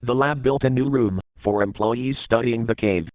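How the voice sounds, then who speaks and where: A man's voice: medium pitch, moderate pace, no echo. Phone-like, dry man narrating scripted horror.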